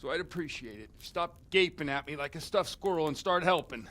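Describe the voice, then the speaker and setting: An actor playing a hungover, bawdy medieval village priest in a video game, rambling and dramatic with groans. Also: male voice, on the high side, expressive, fast, a bit bright. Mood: scheming, pleading. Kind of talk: video game cutscene acting.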